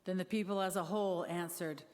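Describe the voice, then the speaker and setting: Female scripture narrator, slightly low voice, long reverberant church hall. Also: fairly even intonation, fairly quick, a little mellow. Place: church service.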